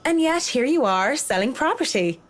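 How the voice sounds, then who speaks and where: An actress playing a reserved, blunt professional woman in a horror visual novel, speaking in a measured, dry way. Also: high-pitched, lively intonation. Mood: dry, cutting.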